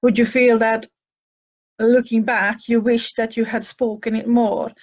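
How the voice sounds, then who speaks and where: A woman's voice: on the high side, little pitch movement, unhurried, a little mellow, lo-fi sound. An older woman from with a slight cough, hosting a show and answering listener questions in a thoughtful, hesitant way with many fillers. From Finland. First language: Finnish and Swedish. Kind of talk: advice talk answering questions.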